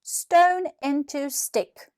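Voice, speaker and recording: Storybook schoolboy, voiced by a woman: eager, bold, emphatic, lifted pitch. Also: very slow, a little mellow, dry recording, studio quality. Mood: confident, commanding. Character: eager, bold young schoolboy with a magic wand.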